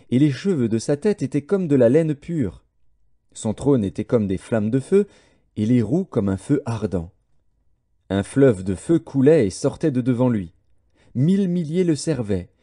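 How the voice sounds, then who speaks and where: A man reads scripture as an audiobook narrator. His voice is deeply mellow and dark, at a medium pitch that moves expressively up and down. The delivery is formal, solemn and measured.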